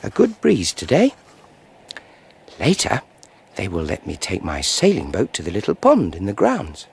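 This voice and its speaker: A gravelly, brooding regressed scientist. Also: male voice, low-pitched, huge pitch swings, exaggerated voice. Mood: wistful, musing. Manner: halting, brooding, disdainful. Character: solemn scientist regressed to boyhood, musing aloud. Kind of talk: radio drama monologue.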